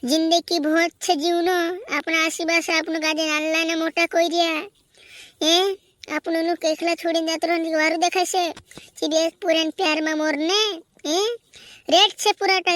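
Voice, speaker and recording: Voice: little pitch movement, moderate pace, fairly bright tone, no echo. A performer voicing an emphatic, bossy little cartoon rascal. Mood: scolding, advising. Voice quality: squeaky, artificially high voice. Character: squeaky, scolding cartoon buddy and comic small-time rascal. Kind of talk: amateur comedy cartoon dub.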